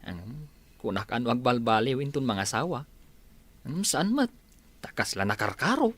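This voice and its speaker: A woman playing a gossipy, excitable villager in an Ilocano radio drama. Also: a bass voice, moderate pace, husky, no room sound, heavily acted.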